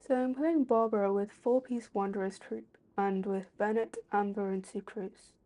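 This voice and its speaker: A woman's voice: mid-range voice, fairly even intonation, moderate pace, warm tone. A woman streaming a video game, giving casual commentary as she plays.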